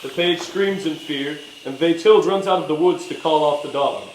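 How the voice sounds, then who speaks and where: A man's voice: on the high side, moderate pace. A man reading stage directions as scripted narration in a staged reading. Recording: echoey room, faint noise.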